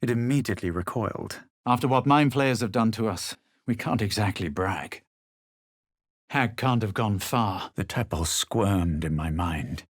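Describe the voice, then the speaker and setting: A man acts out a haughty young adventurer for a fantasy video game. His voice sits low with a slightly rough edge, and the recording is dry. He delivers his lines with measured, superior, dramatic aloofness. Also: no echo, lightly acted. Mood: self-assured. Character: haughty young adventurer hero, male, self-assured and superior. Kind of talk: video game character lines and storytelling narration.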